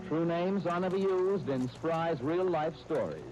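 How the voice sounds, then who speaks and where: A man's voice: a little high, unhurried, muffled recording, music in the background. A man with an American accent announcing in the sponsor style of 1930s radio. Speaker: radio announcer and show host. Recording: hissy 1939 radio broadcast disc.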